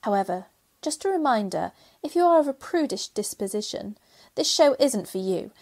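Female actor as pantomime fairy spirit: bossy, cheeky, rhyming, slightly high voice. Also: sing-song, moderate pace, darkish tone. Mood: cheery, cheeky. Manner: cheeky, rhyming, addressing the audience. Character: bright, bossy good fairy spirit, pantomime heroine's helper. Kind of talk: pantomime radio play narration and acting.

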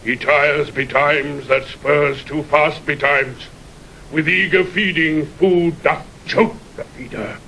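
A man's voice: steady tone, slow, gravelly, sharp tone, heavily acted. An actor playing a dying old nobleman, solemn and prophetic, declaiming weighty Shakespearean verse in an audio drama. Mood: foreboding. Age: older. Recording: vintage 1960 recording with faint rumble.

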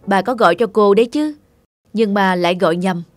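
A man playing a kind, reassuring young shopkeeper in cartoon dialogue, with a calm, modest manner. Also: high-pitched, brisk, faint music behind, lightly acted.

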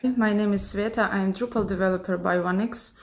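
A woman's voice: medium pitch, fairly even intonation, brisk. A young woman with a Ukrainian accent giving a video statement indoors into a phone. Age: young adult. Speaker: software developer. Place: small indoor room. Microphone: phone camera.

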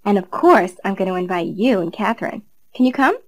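A woman's voice: mid-range voice, animated, a little fast. A young woman in her twenties reading a scripted learner dialogue in a cheerful, chatty way. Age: 20s. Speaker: dialogue reader.

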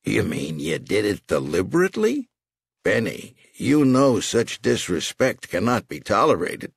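Man doing a taunting, animated mob loan shark. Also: on the low side, a little slow, creaky, slightly rough, no echo, clean studio sound, heavily acted. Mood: mock outrage. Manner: taunting, menacing, theatrical. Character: menacing male loan shark gangster, mockingly courteous. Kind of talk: audiobook character voice.

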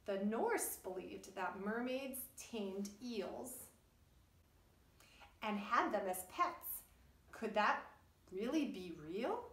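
A middle-aged woman, a preschool teacher reading aloud and explaining things to young children in an animated way. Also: medium pitch, takes it slowly, long pauses. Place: indoors, wood-panelled room by a puppet stage. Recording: roomy echo, distant microphone.

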